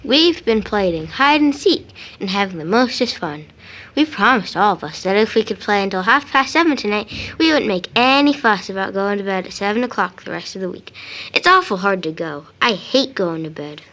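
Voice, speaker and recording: A woman's voice: mid-range voice, lively intonation, normal speed, a bit bright, rough recording. A woman playing a boisterous, playful little child in an audio drama. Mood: excited.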